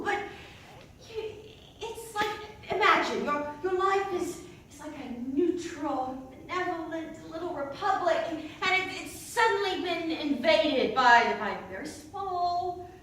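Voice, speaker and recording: Woman, stage monologist: very high, bright voice, flustered comic delivery, slow and halting, recorded from afar in a very echoey space. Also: lively intonation, a little hiss or hum. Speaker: solo stage performer. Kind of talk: comedic theatrical monologue with bursts of song. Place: indoor performance space before an audience. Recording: echoey stage hall, distant microphone.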